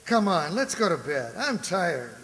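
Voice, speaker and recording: An actor playing a dismissive, tired husband with a brusque, slangy manner in an amateur radio drama. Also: male voice, high-pitched, sing-song, measured pace, a bit bright, full character voice. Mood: scoffing, dismissive. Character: dismissive, tired working-class American husband. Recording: echoey hall.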